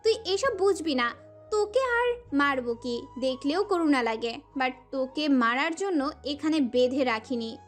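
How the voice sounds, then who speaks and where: A woman's voice: high-pitched, lively intonation, unhurried. A woman voicing a furious, scornful young woman in an audio story, taunting her rival with biting, emphatic contempt. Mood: scornful rage. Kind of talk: dramatic audio story dialogue. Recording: music under the voice.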